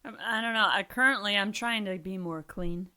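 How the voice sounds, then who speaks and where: A woman's voice: medium pitch, normal speed, pushed and bright. A woman comedian and podcast host chatting casually about her work. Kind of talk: casual chat.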